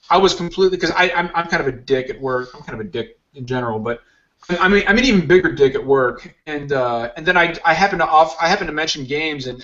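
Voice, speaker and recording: A man hosts a casual podcast and rambles along, mocking himself. His voice is bright and a touch high. The audio comes through a muffled, lo-fi call line. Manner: rambling, self-mocking, joking. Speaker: podcast host. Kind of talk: casual chat.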